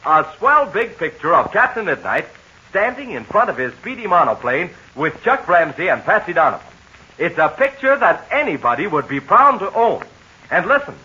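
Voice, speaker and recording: A man with a bright, sprightly voice announces an old radio adventure serial, swinging his pitch up and down as he pitches a sponsor's giveaway to children. The sound is dull and lo-fi, like an old broadcast transcription. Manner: eager, chummy sales patter aimed at children.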